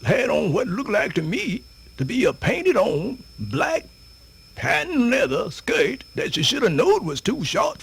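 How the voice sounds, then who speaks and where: Radio theatre: animated old Southern US storyteller, creaky high voice, folksy, wandering delivery with big pitch swings. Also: male voice, full character voice. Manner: rambling, folksy, theatrical hesitations and repetitions. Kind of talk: radio theatre folktale monologue.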